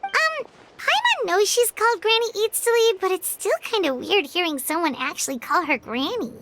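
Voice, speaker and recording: A woman voicing a tiny, childlike fairy companion in a video game, squeaky, cheeky and blunt. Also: animated, normal speed, heavy background noise. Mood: puzzled. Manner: refers to self in third person.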